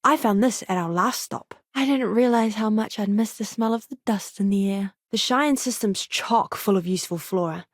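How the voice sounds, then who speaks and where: A woman's voice: mid-range voice, normal speed, hardly any pauses, dry recording, clean studio sound, lightly acted. A woman playing an earnest, friendly young space medic, a companion character in a video game. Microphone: close studio mic, dry.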